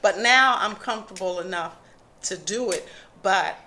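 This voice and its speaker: A woman's voice: mid-range voice, normal speed, pushed and bright, a little hiss or hum. A woman from the United States chatting casually in a group, telling stories and trailing off into restarts. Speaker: panelist.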